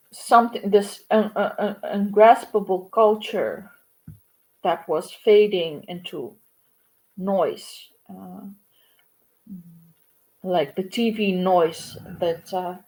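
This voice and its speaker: A woman in her forties from Ukraine, speaking English, telling a halting, reflective livestream story about her art. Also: medium pitch, a little mellow, low-quality recording. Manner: halting and reflective, many pauses and fillers. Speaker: artist. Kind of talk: livestream monologue.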